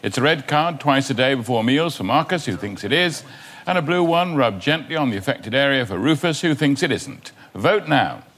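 A man's voice: medium pitch, lively intonation, moderate pace. A man hosting a comedy debate show, reading scripted links with dry punchlines. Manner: dry, punchline-driven delivery. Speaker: game show host. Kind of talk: scripted comic presenting. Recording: studio with loud crowd and music.

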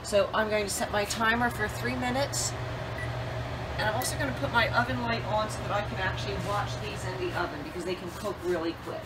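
Middle-aged woman walking through a recipe at home, mid pitch, lots of kitchen noise behind her. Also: steady tone, a little fast. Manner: plain step-by-step instructions with fillers. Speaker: cooking channel host. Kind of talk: home cooking demonstration.

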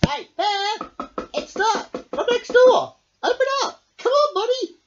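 A storybook reader voicing an eager, chatty, needy duck with an excitable, pleading manner. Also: very high voice, slow, background noise, voice pushed up, exaggerated voice. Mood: chirpy, bossy. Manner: excitable, pleading, swooping exclamations. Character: eager, chatty, needy cartoon duck, pestering best friend. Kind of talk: picture book read-aloud character voice. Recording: dull lo-fi home recording.

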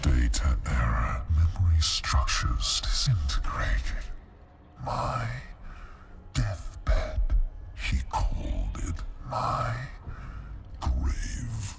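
An actor playing a menacing, broken artificial intelligence in a video game, with a gravelly machine-processed voice and halting, cold, clipped delivery. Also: male voice, sing-song, a little hiss or hum, full character voice. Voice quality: gravelly, synthetic. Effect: deep machine voice processing. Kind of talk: video game dramatic acting.

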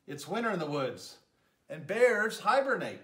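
A man voicing a fretful little storybook mouse, raised high and anxious. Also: very animated, measured pace, fairly bright tone, heavily acted. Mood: fretting. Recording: roomy echo.

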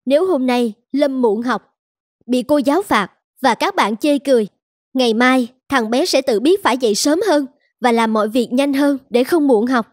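A woman's voice: high voice, moderate pace, dry recording, studio quality. A woman playing a firm, polite young mother in a scripted cartoon, reasoning earnestly.

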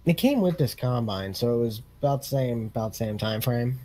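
A man's voice: mid-range voice, expressive, brisk, mellow, lightly acted. A man playing an easygoing farm equipment dealership salesman in casual, rambling improvised game role-play. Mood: casual, businesslike.

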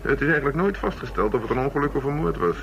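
A man's voice: mid-range voice, very animated, very fast. An actor playing a thoughtful, matter-of-fact male police chief inspector in a radio drama. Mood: businesslike. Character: male police chief inspector methodically reviewing murder cases. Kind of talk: radio drama acting, naturalistic dialogue. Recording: dull lo-fi radio recording, crowd murmur.

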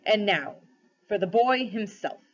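A woman's voice: mid-range voice, expressive, measured pace. Woman reading as a solemn, commanding lion king, slightly muffled. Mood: solemn blessing. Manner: grave, formal questioning.

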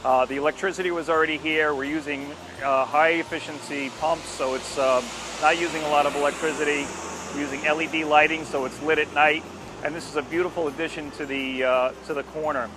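An older man giving an informal, unscripted explanation to camera outdoors, speaking in a halting, explanatory way. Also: on the high side, fairly even intonation, background noise. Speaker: landscape company presenter. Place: outdoors at a street corner by a station. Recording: music behind the voice, outdoor recording. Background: music.

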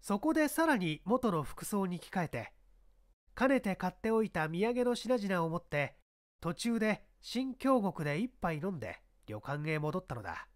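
A man's voice: high voice, very animated, moderate pace. A man voicing a confident police inspector in a dramatised detective story, explaining his deductions in an emphatic, rhetorical way. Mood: confident, explanatory.

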